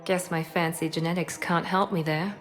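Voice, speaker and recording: A woman's voice: lowish voice, steady tone, moderate pace, soft background music, natural voice. A woman playing a proud, guarded secret operative in a video game, speaking in a serious, controlled and earnest way. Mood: earnest, composed. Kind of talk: cinematic video game acting.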